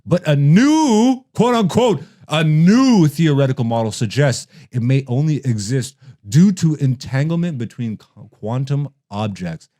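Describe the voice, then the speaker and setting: A man's voice: a little high, expressive, unhurried, mellow. A man hosting a comedy podcast, ranting casually and brashly with lots of swearing and laughing through his words. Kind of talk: casual comedic rant and chat.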